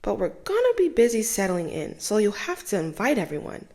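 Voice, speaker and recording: A woman voicing a kind, practical father in a read-aloud storybook, speaking calmly and matter-of-factly. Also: mid-range voice, brisk. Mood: agreeable.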